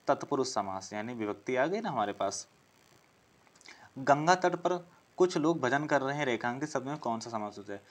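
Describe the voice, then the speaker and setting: A man teaching an exam-prep class, working through questions, asking them aloud, answering them and repeating key phrases as he explains. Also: medium pitch.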